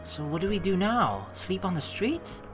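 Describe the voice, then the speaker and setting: Animated low-voiced woman gamer. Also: moderate pace, over music. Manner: expressive, playful, chatty. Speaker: let's play host. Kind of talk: gameplay commentary, reading game text aloud.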